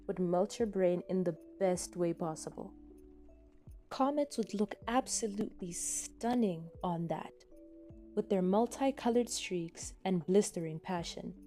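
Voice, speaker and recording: Mellow woman narrating over soft music. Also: mid-range voice. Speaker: podfic narrator. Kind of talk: scripted second-person story narration. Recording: soft music bed behind reading. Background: soft background music.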